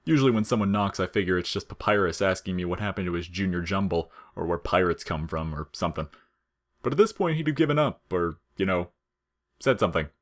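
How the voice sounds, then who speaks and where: A man's voice: low voice, animated, quick pace. A man acts out a slacker skeleton in a storybook reading of a game tale. He is laid-back and wisecracking, tossing out casual slang. His teasing is dry and unbothered. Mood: dry, joking.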